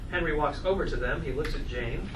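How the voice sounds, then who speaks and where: A man reads scripted stage directions at a table read. His voice is a little high, with clear echo and background noise around it. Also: fairly quick. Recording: echoey, noisy room, distant microphone.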